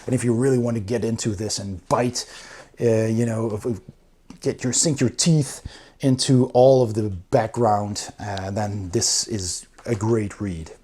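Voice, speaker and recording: A man's voice: fairly low pitch, steady tone, unhurried, mellow, slightly rough. A man giving a casual, enthusiastic review, talking through a book as he goes. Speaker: board game reviewer.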